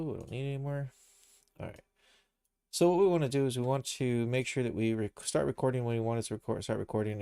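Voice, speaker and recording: A man with a creaky, gravelly voice hosts an informal tutorial stream. He thinks aloud, explaining step by step in a casual way. The sound is dry and close. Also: medium pitch, darkish tone, dry recording. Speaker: livestream host, game developer tutor. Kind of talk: casual live software tutorial.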